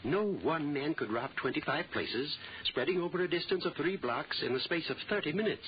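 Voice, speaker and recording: An actor playing a fussy, insistent insurance representative in a vintage radio drama, formal and easily exasperated. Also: male voice, on the high side, expressive, normal speed, lightly acted. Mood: incredulous, exasperated. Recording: muffled vintage radio recording, faint noise.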